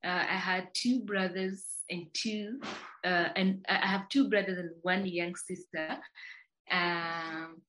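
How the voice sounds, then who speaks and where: A woman's voice: on the low side, fairly even intonation, takes it slowly, sharp tone, poor audio. A woman from Tanzania, a company founder, telling her life story as a podcast guest in a reflective way, often restarting her sentences. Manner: reflective, many restarts and fillers. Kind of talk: casual interview.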